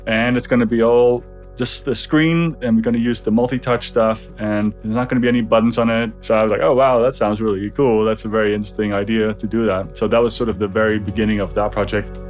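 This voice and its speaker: A man with a fairly low voice talks as an interview guest, casually recalling his work. His phrasing is loose and conversational, and faint music plays underneath. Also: steady tone, quick pace. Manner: informal, loosely phrased, often quoting dialogue. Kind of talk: casual spoken recollection.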